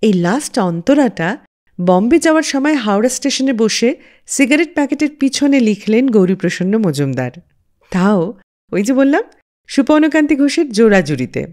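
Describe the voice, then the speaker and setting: A woman's voice: a little high, sing-song, moderate pace, a little mellow. A woman hosting a music radio show, telling scripted stories in a dramatic storyteller's manner.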